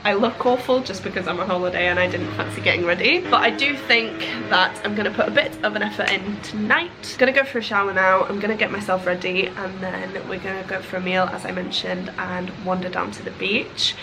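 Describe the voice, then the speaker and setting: A woman's voice: medium pitch, fairly even intonation, a little fast, few pauses, over music, rough recording. A woman with a cold, sounding nasal and congested, chatting casually to camera about her holiday. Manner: chatty, rambling with fillers and laughs. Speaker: vlogger. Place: holiday room indoors, then a windy beach outdoors.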